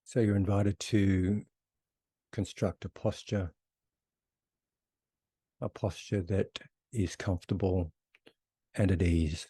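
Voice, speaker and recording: A man leading a guided meditation as a teacher, unhurried, giving one short phrase at a time with long pauses. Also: low-pitched, fairly even intonation, mellow, husky, no echo.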